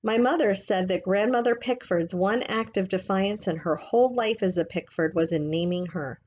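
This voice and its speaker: A woman reading a book aloud like a teacher, in a gentle, measured, explanatory way. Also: medium pitch, muffled recording, slight background noise.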